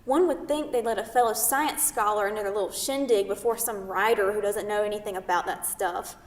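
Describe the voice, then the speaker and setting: A woman's voice: medium pitch, a little fast, natural voice. A woman reading the part of a brash, teasing New York City woman in a staged play, pushy and playfully sarcastic. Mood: excited, envious. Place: theatre, recorded from a distance. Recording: distant mic in echoey hall.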